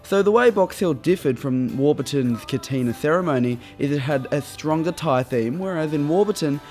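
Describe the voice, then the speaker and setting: A man's voice: on the high side, animated, moderate pace, a little mellow, soft background music. A young man, hosting a community TV program with jokey, scripted narration. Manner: jokey, upbeat presenter. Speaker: show host.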